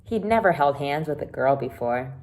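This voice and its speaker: A woman's voice: very deep voice, animated, moderate pace, a little mellow. A woman teacher reading a children's book aloud and explaining it simply, with dramatic emphasis. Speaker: elementary teacher.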